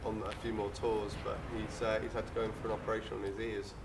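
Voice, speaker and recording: A man's voice: lowish voice, monotone, quick pace, recorded from a distance, noisy background. A young man from England, a touring musician, chatting casually in an interview in a bar, rambling and repeating his phrases. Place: small town bar.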